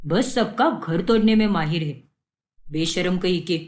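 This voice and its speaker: A spiteful male film-crew gossip, acted by a woman narrator. He speaks in a lowered, sneering voice, full of scornful emphasis. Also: measured pace, a little mellow, close to an everyday voice.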